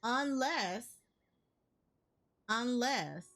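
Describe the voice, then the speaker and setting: A woman's voice: fairly high pitch, expressive, extremely slow, fairly bright tone. A woman giving casual game reaction commentary, thinking aloud and often repeating herself. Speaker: gaming streamer. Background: game music.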